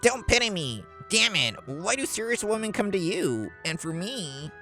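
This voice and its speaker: A man performs a jealous, conceited young male office worker from a manga story. The voice is bright and tense and swings widely in pitch. He sounds smug and easily offended, with light music behind. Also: very animated, normal speed, full character voice. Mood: indignant, flustered. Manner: indignant, smug, stammering when flustered.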